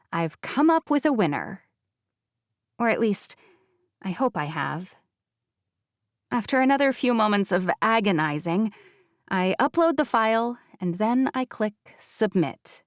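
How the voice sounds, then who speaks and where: A woman narrating a first-person novel as an audiobook, with expressive, wry delivery. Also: mid-range voice, normal speed, no echo, clean studio sound.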